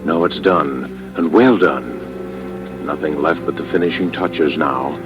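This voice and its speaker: A man's voice: a bass voice, muffled recording. An actor playing a desperate, cold murderer scheming his perfect crime in a brooding, theatrical old radio drama monologue. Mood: grim triumph. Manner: theatrical, brooding, halting self-talk. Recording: band-limited old radio recording with music behind.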